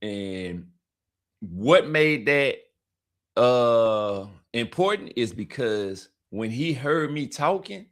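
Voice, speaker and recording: A man with a loud, heavy voice that carries, telling a travel story on a live stream with emphatic, repeating urges. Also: mid-range voice, very animated, extremely slow. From United States. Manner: emphatic, repetitive, preacher-like urging. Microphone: streaming mic.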